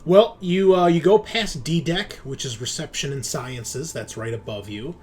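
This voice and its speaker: A man's voice: a little high, measured pace, darkish tone, slight background noise. A man running a tabletop game as game master, explaining rules and scenes informally and hesitantly, thinking aloud.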